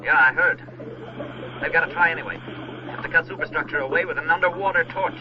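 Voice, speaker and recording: Male, 1940s radio drama: actor as plucky wry diver, fast talker, higher voice, vintage noisy recording. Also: dull audio, a crowd in the background, natural voice. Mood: game, wry.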